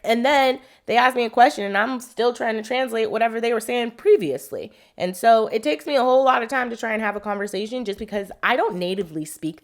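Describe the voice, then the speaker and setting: A young adult woman from Southern California with a Southern Californian accent, tutoring test prep in a loose, conversational way with lots of "like". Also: medium pitch. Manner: conversational, uses "like" and acts out questions to herself. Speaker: MCAT tutor. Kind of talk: unscripted teaching monologue.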